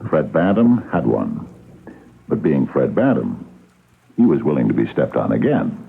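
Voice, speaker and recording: An actor playing a shrewd, patient police captain in a radio drama, gravelly and hesitant as he probes. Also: extremely low pitch, big pitch swings, full character voice. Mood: quietly accusing. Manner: hesitant, deliberate, understated interrogator. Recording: noisy, narrow-band vintage radio drama recording.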